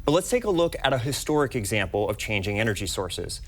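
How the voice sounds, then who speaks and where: A man's voice: on the low side, big pitch swings, fast. An American man giving a scripted, explanatory news report. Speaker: news fact-check reporter.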